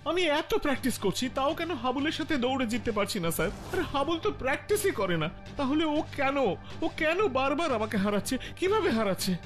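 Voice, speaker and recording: A man's voice: very high-pitched, sing-song, fast, fairly bright tone, faint music behind, heavily acted. A man voicing an earnest, pleading young boy runner in a cartoon dub, who often repeats himself. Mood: dejected, pleading. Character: earnest, dejected boy runner eager to win. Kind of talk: cartoon dubbing.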